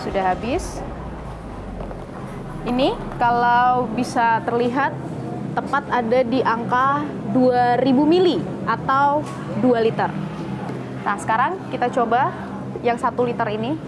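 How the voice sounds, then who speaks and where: A woman's voice: on the high side, unhurried, pauses often, background noise. A woman working as a TV reporter, explaining things to viewers in a conversational, improvised on-location style.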